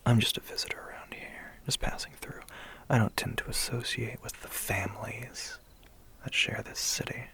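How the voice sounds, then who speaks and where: A man's voice: fairly low pitch, gravelly, a bit bright, full character voice. A man playing a smug, menacing, centuries-old vampire biker in an ASMR roleplay, whispering breathily with a condescending, teasing manner.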